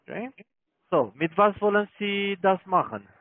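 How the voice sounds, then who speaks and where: A man's voice: high voice, lively intonation, measured pace, dry recording. Phone salesman with a foreign accent. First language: not German. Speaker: call-centre sales caller. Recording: narrow tinny phone line.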